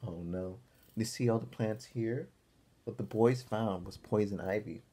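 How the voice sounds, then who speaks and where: A man reading a picture book aloud to young children as their teacher, expressively, pausing to explain and ask simple questions. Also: lowish voice, dark tone, husky. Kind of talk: animated read-aloud with questions to young listeners.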